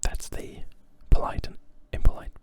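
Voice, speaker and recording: A German-accented native German speaker teaching a language lesson in English, speaking in a soft, patient whisper throughout. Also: normal speed.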